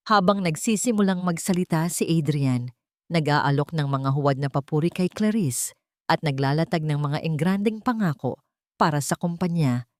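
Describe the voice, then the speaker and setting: A woman narrating a romantic drama in a steady, dramatic storytelling manner. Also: sits low, no echo, clean studio sound. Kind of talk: scripted audiobook narration.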